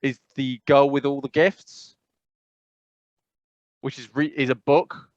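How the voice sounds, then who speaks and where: A man with an English accent co-hosting a podcast, rambling and animated, with emphatic repetitions. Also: medium pitch, slow, no echo, dull audio. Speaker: podcast co-host. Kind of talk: casual chat.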